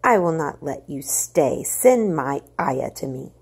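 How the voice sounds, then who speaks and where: A spoiled young girl from a storybook, acted out by a woman reader, cross and demanding. The voice has a gravelly, husky edge and hits its words emphatically. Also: low voice, expressive, slow pace, darkish tone, heavily acted. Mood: imperious. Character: cross, imperious spoiled nine-year-old girl.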